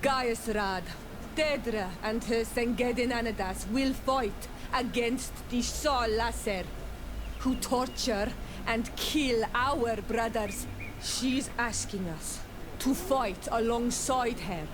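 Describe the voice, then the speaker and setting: Slow, solemn native clan leader. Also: female voice, a little high, noisy background. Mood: rallying. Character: proud, solemn young island native clan warrior leader. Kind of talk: scripted video game dialogue acting.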